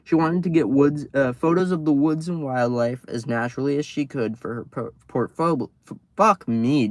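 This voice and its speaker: Hoarse, swearing man telling spooky stories. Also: mid-range voice, dry recording. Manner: swears freely, stumbles over words. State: losing his voice. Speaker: live streamer reading horror stories. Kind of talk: casual live-streamed story reading. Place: outdoors outside his house at night.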